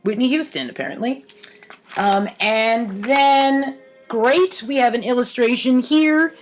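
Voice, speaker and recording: Female storytime host, higher register, unhurried pace, theatrical reader with wry offhand asides. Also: lively intonation, faint music behind. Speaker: host reading aloud childhood writing. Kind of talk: storytime reading with casual commentary.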